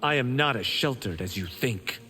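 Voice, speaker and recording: An actor voicing a boastful, vain young monk in a video game. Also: male voice, mid-range voice, animated, moderate pace, sharp tone, slight background noise. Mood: cocky.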